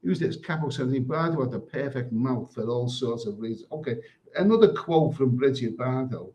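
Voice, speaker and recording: Man, live stream host, crude, rambling, prone to stammering repeats, mid-pitched. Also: animated, brisk, darkish tone. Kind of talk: casual livestream chat, reading comments.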